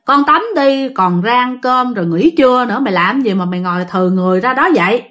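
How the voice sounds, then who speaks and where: Fearless older mother, voiced by a female storyteller: brisk scolding, raised pitch, Southern Vietnamese accent. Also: animated, fairly bright tone. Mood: impatient.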